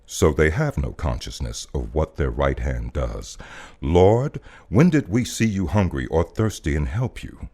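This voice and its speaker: Male voice-over narrator, deep register, highly varied intonation; solemn, emphatic, poetic spiritual reading. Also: animated, a little slow, dark tone.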